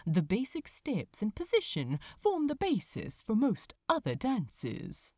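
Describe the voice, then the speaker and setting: A woman voicing a refined, mischievous gentleman who teases with prim mock propriety in a storybook reading. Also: medium pitch, huge pitch swings, dry recording, clean studio sound, full character voice. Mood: playfully prim. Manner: prim, mock-proper, deliberate innocence. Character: refined, mischievous gentleman, teasing dance tutor.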